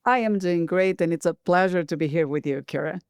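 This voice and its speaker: A middle-aged woman from Brazil with a Brazilian Portuguese accent, a board director guest on a podcast interview, speaking in a measured way and thinking aloud. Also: fairly low pitch, a little mellow, clean tone, dry recording, studio quality.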